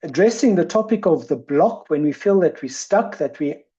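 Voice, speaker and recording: A woman's voice: sits low, lively intonation, normal speed, warm tone. A woman presenter who stutters, talking reflectively about her speech on a video call. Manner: stutters with repetitions and blocks, thoughtful. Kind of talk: reflective talk. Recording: muffled video call audio.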